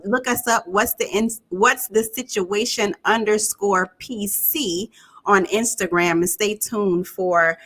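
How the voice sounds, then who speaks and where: Animated, bright-voiced woman in her forties. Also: medium pitch. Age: 40s. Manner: upbeat, laughing, animated. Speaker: relationship coach and host. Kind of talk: casual live-stream chat.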